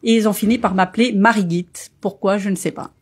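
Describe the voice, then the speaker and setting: A woman's voice: mid-range voice, fairly even intonation, fairly quick, slightly rough. A woman from Portugal whose first language is Portuguese, a guest telling her story in a casual interview with frequent hesitations. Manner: hesitant, many fillers, warm storytelling. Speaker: association member, guest.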